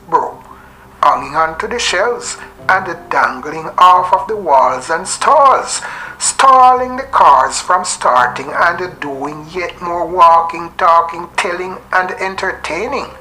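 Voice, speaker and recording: A woman's voice: lowish voice, sharp tone, slight background noise, rough recording. A woman reading scripted poetic narration in a theatrical, rhyming storytelling manner.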